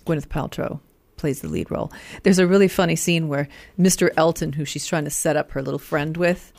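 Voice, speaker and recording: A woman with a low-pitched voice hosts a radio show in a casual, chatty way. Her delivery is expressive and playful, and the sound is close and dry. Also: a little fast, creaky, no room sound. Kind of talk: casual on-air chat.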